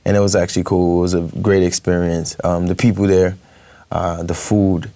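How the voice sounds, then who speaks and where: Young New man, low voice, dry close sound, hesitant and chatty guest. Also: level tone, moderate pace, dark tone, no echo. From Brooklyn, New York. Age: young adult. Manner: hesitant, many fillers, laughs easily. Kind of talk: casual interview chat.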